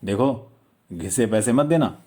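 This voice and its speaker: A man's voice: on the low side, animated, measured pace, mellow. A man voicing a teasing, smiling young widow in a storybook, with coy banter. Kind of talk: storybook character dialogue.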